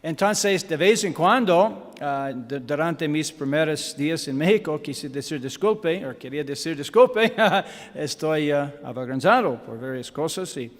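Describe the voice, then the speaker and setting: American man preaching in halting Spanish. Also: fairly high pitch, expressive, big-room sound. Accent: American English. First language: English. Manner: halting Spanish with English fillers, self-deprecating humor. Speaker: guest pastor. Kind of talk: sermon with Bible reading. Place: church sanctuary.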